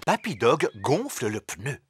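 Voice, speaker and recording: A narrator telling a children's cartoon story in a clear, scripted storytelling delivery. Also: mid-range voice, big pitch swings, a little slow, a little hiss or hum.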